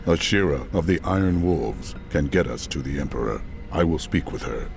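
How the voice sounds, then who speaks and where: A grim, gravelly warrior hero. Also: male voice, very deep voice, expressive, moderate pace, darkish tone, slight background noise, heavily acted. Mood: stern. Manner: stern, gravelly, solemn. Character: grim holy warrior hero, stern and resolute. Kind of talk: game cutscene acting and narration.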